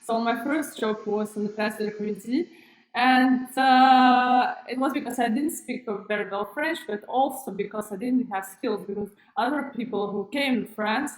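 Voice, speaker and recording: A woman's voice: a little high, normal speed, roomy sound, poor audio. Hesitant woman presenting a webinar. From Kazakhstan. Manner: hesitant, many fillers and restarts, non-native phrasing. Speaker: webinar presenter, school founder. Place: room with plain wall, indoors. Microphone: webcam on a computer, video call framing.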